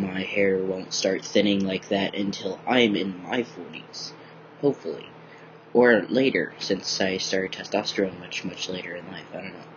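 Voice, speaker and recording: A young man vlogging in a bright room at home, rambling casually with lots of ums, a little groggy from just waking up. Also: sits low, slight background noise. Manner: rambling, many ums, relaxed and candid. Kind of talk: casual personal video diary. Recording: dull lo-fi webcam audio.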